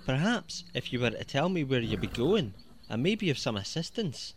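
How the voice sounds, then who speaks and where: An actor playing a sly, mocking highwayman, taunting with false courtesy in an audio drama. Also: sing-song, normal speed, slight background noise, close to an everyday voice. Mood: mock-polite. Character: sly, mocking male highwayman lurking in moorland fog.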